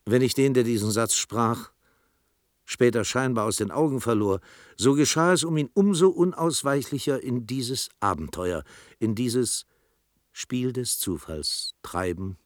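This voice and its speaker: An actor playing a reflective storyteller, narrating a literary radio play in a measured manner. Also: male voice, lowish voice, expressive, no echo, clean studio sound. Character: reflective male storyteller recounting his own tale.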